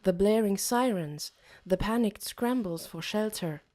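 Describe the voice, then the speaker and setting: Woman voicing a pensive teenage boy, mellow. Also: mid-range voice, a little slow, close to an everyday voice. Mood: somber. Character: pensive, uneasy teenage boy narrating his story. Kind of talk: scripted audiobook narration.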